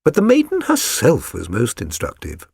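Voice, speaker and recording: A man's voice: medium pitch, wildly swooping pitch, normal speed, gravelly, darkish tone, slightly rough, no echo, studio quality, heavily acted. A man voicing a keen, self-assured detective for an audiobook, with a British accent and a precise, lecturing, condescending manner. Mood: languid, musing. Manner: precise, didactic, theatrical. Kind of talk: dramatised audiobook reading.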